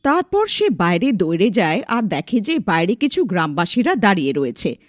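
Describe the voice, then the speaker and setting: A woman's voice: medium pitch, sing-song, normal speed, no echo, clean studio sound. A woman narrating a scripted dramatic story as a storyteller.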